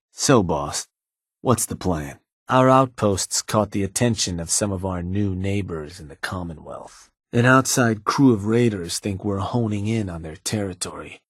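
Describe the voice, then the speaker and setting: An actor playing a shrewd, calm male raider lieutenant in a game, speaking in a dry, calculating drawl. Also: fairly low pitch, breathy edge, no room sound. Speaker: game character. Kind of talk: game voice lines.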